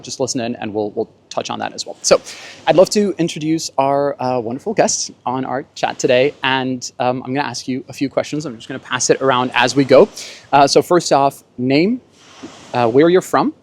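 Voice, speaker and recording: A man hosts an informal panel discussion. His voice sits at a middling pitch, and he explains things conversationally as he sets up the discussion. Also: fairly quick. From Germany and Bolivia. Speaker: host, panel moderator. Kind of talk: casual panel introduction.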